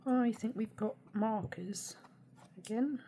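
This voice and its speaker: A woman with a hoarse, snuffly cold, chatting casually and quietly as she unboxes art supplies, with many hesitations. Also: a little high, takes it slowly. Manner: soft-spoken, often drops to a near whisper; mildly critical, hesitant with many ums. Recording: steady background noise.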